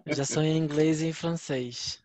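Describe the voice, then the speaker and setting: A man's voice: a little high, steady tone, moderate pace, darkish tone. A man from Brazil co-hosting a casual podcast, speaking earnestly and agreeably, often restarting his sentences. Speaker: podcast co-host.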